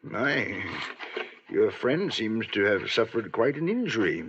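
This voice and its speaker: A genteel, nervy frontier hotel clerk with a lively, swooping delivery. He is fussy and polite in the old radio drama style, on a slightly dull recording. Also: on the low side, slow, slight background noise, exaggerated voice. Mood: obliging. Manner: genteel, easily flustered. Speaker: actor in a radio western. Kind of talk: old-time radio western acting.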